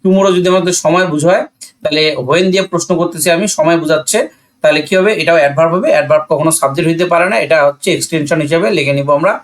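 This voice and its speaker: A Bangladeshi-accented man with a slightly high voice explains grammar like a classroom teacher. He is didactic and methodical, posing questions and answering them himself. Key points come back again in repeated phrases. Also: fairly even intonation, rapid-fire.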